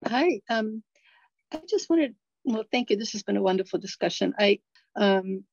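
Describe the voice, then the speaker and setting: A middle-aged woman from Bulgaria sharing a thoughtful, unhurried comment during a community discussion. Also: mid-range voice, animated, a little mellow, lo-fi sound. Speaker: audience member.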